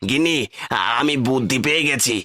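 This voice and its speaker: A man's voice: mid-range voice, a little fast, sharp tone, a little hiss or hum, close to an everyday voice. A man playing a hopeful village husband in a cartoon fairy tale, exclamatory and theatrical. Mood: excited. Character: excitable, hopeful poor village husband and fish farmer. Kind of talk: cartoon storybook acting.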